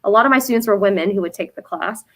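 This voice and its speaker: Woman, Vietnamese first language, founder guest, earnest, reflective, medium pitch. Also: rapid-fire. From United States. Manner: earnest, reflective, many soft fillers. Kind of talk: interview answers.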